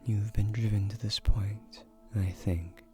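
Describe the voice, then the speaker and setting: Casting: male actor as ancient tree guardian, male, romantic fantasy audio drama. Lowish, very slow delivery, formal, seductive, comforting, often near a whisper. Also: steady tone, a little mellow, soft background music. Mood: wooing, intent. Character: ancient male guardian spirit of a magic tree, tender, courtly suitor. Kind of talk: romantic scripted audio roleplay.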